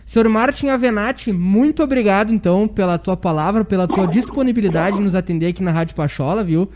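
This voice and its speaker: A man's voice: high voice, fast talker, noisy background. A man with a gaúcho southern Brazilian accent, hosting a live sports radio show in an informal, courteous way.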